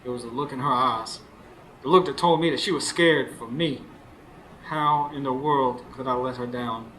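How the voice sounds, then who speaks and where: An actor playing an earnest, scheming Southern husband in a radio drama, with a folksy, confiding way of talking. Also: male voice, medium pitch, a bit bright, close to an everyday voice, low-quality recording. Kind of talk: radio drama acting with first-person narration. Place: stage before a live studio audience. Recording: live radio show with clear background noise.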